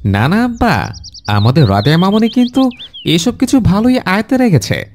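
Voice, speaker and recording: A woman's voice: lowish voice, very animated, normal speed, a little mellow, faint music behind. A woman playing an easygoing young parent who makes casual, deferential excuses to an in-law in a cartoon. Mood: sheepish, defensive. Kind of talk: Islamic moral cartoon dialogue. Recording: background noise with chirping birds.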